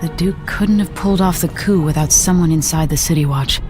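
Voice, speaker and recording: An actress playing a resolute young deposed empress in a video game, speaking in a measured, serious, guarded way. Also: female voice, deep voice, music in the background, close to an everyday voice. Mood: determined, pensive. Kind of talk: introspective game narration.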